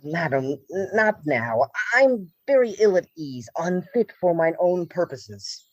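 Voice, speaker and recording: A woman's voice: lowish voice, sing-song, a little slow, no room sound, muffled recording. A woman playing a humbled, uneasy, courteous male lieutenant with formal deference in a Shakespearean radio play.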